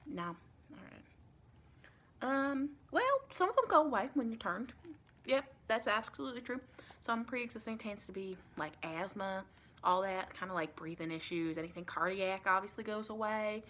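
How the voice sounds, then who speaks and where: A soft-spoken woman playing a chatty, caring female vampire intake interviewer in an improvised ASMR roleplay, rambling and reassuring with pet names. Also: mid-range voice, normal speed, close to an everyday voice. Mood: chatty, matter-of-fact. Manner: rambling, reassuring, endearments like honey and sweetie.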